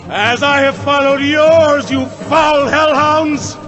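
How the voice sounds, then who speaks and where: Intense high-voiced vampire hunter. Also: male voice, fairly even intonation, slow, rough recording. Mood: defiant. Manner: terse, melodramatic. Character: intense, vengeful male doctor and vampire hunter. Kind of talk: theatrical audio drama acting. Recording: loud music and crowd over voice. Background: loud music and crowd noise.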